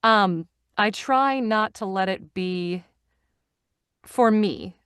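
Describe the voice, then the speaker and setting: Clear-voiced enthusiastic woman narrator. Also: mid-range voice, takes it slowly, no room sound, studio quality. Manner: animated, enthusiastic, many restarts and fillers. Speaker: guest, voice actor and audiobook narrator. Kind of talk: casual interview chat. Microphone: studio microphone.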